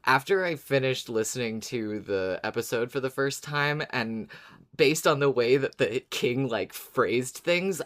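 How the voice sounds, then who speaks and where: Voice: medium pitch, fairly bright tone. A podcast co-host chatting casually and enthusiastically, musing aloud.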